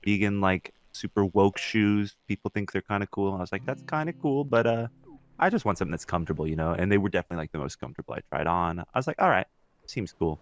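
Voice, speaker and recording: A man's voice: low voice, lively intonation, fast. A young man co-hosting a podcast in casual chat, talking in a slangy, mildly profane way. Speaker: podcast co-host.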